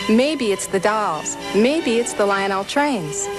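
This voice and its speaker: A woman's voice: mid-range voice, animated, takes it slowly. A woman delivering a scripted advertisement voice-over as a commercial announcer. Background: music.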